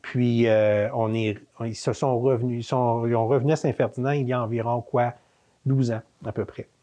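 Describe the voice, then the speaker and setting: A man in his fifties speaks with a Quebec French accent, at a mid pitch. He rambles through casual stories, circling back with fillers and restarts. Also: steady tone, normal speed, dark tone. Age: 50s. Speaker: interview guest, manor co-owner. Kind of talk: casual storytelling interview.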